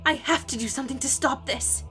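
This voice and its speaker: A woman's voice: high-pitched, a little fast, over music, lightly acted. A woman playing an anxious, idealistic teenage village girl in a fantasy audiobook, pleading earnestly and urgently. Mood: desperate, pleading. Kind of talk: dramatized fantasy audiobook acting.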